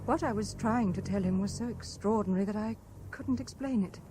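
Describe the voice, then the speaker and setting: A woman's voice: medium pitch, fairly quick, lightly acted. An actress playing a dreamy, fragile, well-bred young woman in a 1970s radio drama. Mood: bewildered, troubled. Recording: noisy old 1970s radio broadcast.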